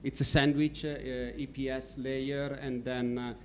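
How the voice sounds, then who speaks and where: A man from Italy with an Italian accent, giving a company presentation and pausing often on fillers as he searches for words. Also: medium pitch, little pitch movement, slight background noise. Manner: halting, many fillers. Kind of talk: presentation talk. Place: stadium function room.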